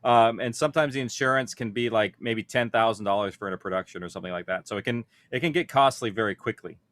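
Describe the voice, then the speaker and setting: A man's voice: fairly low pitch, quick pace, fairly bright tone. A man speaking informally on a panel, explaining practical details of production in a conversational way. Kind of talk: conference panel talk.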